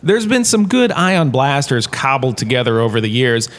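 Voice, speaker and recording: A man's voice: mid-range voice, very animated, moderate pace, natural voice. A man playing a washed-up showbiz star turned university lecturer, giving a chatty, jokey scripted lecture in a comedy audio drama. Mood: playful, chatty. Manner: chatty, jokey, showman-like salesman patter.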